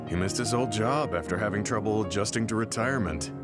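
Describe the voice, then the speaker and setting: A stoic, thoughtful soldier from a video game played by a man, with a low voice and measured, formal phrasing. He sounds serious and old-fashioned, over clearly audible music. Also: natural voice. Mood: somber, troubled. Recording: background music over dialogue.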